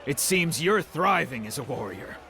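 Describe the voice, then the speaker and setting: A man's voice: on the high side, animated, normal speed, bright, forceful tone, a little hiss or hum. An actor playing a polite, gossipy innkeeper who is eager to serve his customers. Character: friendly, chatty male innkeeper. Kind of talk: game cutscene voice acting.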